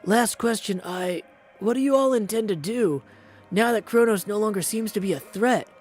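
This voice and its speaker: A man's voice: sits high, normal speed, faint music behind, voice pushed up. A man voicing an earnest, perplexed young goddess in a video game, speaking formally and questioningly. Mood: concerned, insistent. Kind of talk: video game character practice.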